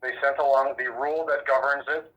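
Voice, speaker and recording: An American man speaking as an official over a phone line, giving measured, firm, deflecting answers to press questions. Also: medium pitch, little pitch movement. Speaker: sheriff's office representative. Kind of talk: formal answers to a press query.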